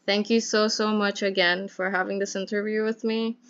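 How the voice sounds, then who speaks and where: Young woman, student interviewer, upbeat and encouraging, mid-pitched, muffled lo-fi sound. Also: little pitch movement, moderate pace, fairly bright tone, smooth. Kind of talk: casual interview hosting.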